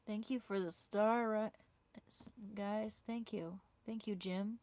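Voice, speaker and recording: A woman on a small clip-on mic, talking casually to her live stream viewers and reading their comments aloud. Her throat is sore and her voice is starting to give out, sitting at an ordinary middle pitch for a woman. She speaks in a halting, chatty way, often stumbling and repeating words as she goes. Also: steady tone, a little mellow. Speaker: livestreamer. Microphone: small clip-on wireless mic.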